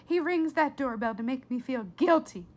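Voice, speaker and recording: A woman's voice: sits high, expressive, normal speed, slight background noise. Woman acting out an exasperated, bossy storybook mother. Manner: emphatic, bossy. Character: exasperated mother of a young girl. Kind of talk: children's book read-aloud.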